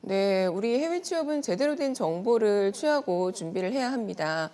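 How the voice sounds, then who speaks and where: A woman's voice: mid-range voice, lively intonation, moderate pace, mellow. A woman speaking as an expert guest in a news segment, explaining things politely and point by point in a prepared, organized way.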